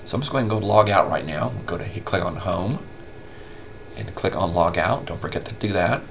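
A man giving a casual, step-by-step software tutorial as a help desk instructor. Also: sits low, a little fast, slight background noise. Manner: informal step-by-step explaining.

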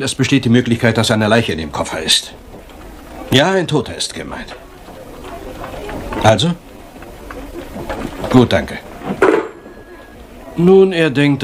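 Casting: a blustering, sceptical police chief, radio crime play, lively range, audible background noise. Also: male voice, medium pitch. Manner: brusque, blustering, sarcastic. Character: gruff, sceptical, exasperated male English police chief inspector. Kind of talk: German radio crime drama acting.

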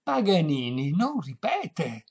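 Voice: mid-range voice, very animated, a little mellow, slightly rough, muffled recording, full character voice. A narrator playing a famous male violinist in a comic story, courtly but exasperated, pompous and emphatic. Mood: firm. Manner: pompous, emphatic, drawn-out delivery.

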